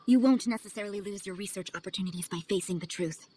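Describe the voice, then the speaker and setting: Brisk, composed woman traveler, voiced by an actress. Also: mid-range voice, mellow, close to an everyday voice. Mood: firm, persuasive. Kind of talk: dramatic video game dialogue. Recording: game audio over ambient noise.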